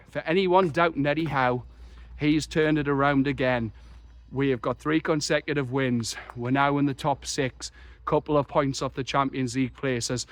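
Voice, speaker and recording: A young man, croaky after a chest infection, talking passionately and rambling through a football match reaction. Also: mid-range voice, dry recording. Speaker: football fan vlogger. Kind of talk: excited solo match reaction.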